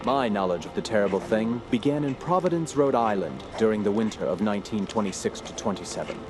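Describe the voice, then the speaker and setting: An actor playing a grave, brooding gentleman scholar who narrates a horror audio drama in a formal, measured, ominous way. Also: fairly low pitch, expressive, background noise, close to an everyday voice. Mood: somber, reflective.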